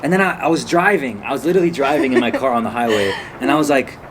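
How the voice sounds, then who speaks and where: A man's voice: mid-range voice, fast. A man hosting a casual vlog, telling a story in a rambling, chatty way. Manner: rambling, chatty, restarts sentences. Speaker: vlog host. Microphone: camera on a phone or camera nearby. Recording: noisy background.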